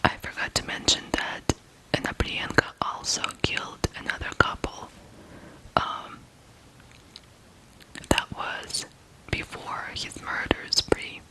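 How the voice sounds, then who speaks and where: An ASMR host from Ukraine telling true crime stories in a breathy whisper, in deliberate phrases with pauses. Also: pushed and bright, rough recording.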